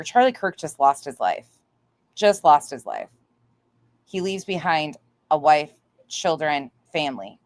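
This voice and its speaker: Female livestream commentator, lower register, indignant and foul-mouthed, recorded close and dry. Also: no echo. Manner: blunt, indignant, heavily swearing. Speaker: livestream host. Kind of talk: livestream commentary reacting to another creator, chatting with viewers.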